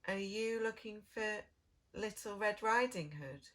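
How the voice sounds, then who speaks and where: A woman reading a storybook to young children as a preschool storyteller, with dramatic, drawn-out phrasing and hushed moments. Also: medium pitch.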